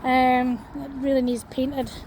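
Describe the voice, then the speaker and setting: A Scottish woman vlogging as she walks along an outdoor path in a small town. Her voice is croaky, and her talk is full of fillers and restarts. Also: sits high, little pitch movement, slow, noisy background. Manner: hesitant, many fillers and restarts. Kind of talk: walking vlog chat.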